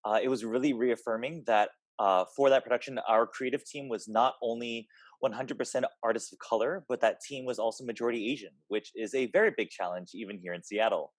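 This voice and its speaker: A man's voice: mid-range voice, brisk, dry recording. A man, a theater director, giving an informal, somewhat hesitant spoken introduction to a show.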